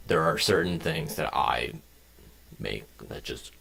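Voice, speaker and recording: A young man talks to his chat from his home desk as he codes. His voice sits low with a gravelly edge, but it swings lively up and down as he rambles from one tangent to the next. He swears offhandedly into a desk mic. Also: slow pace. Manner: rambling, jumps between tangents, swears casually. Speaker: game developer streaming. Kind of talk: casual live-stream chat while coding.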